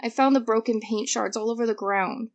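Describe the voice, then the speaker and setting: Woman acting an earnest, high-voiced twelve-year-old girl. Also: brisk, muffled recording, natural voice. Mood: worried, earnest. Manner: hesitant, earnest, indignant. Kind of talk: audio drama acting.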